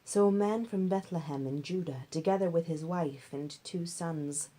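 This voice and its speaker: A woman reading a Bible story aloud as scripted narration, in measured, deliberate phrases. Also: low-pitched, mellow. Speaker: reader.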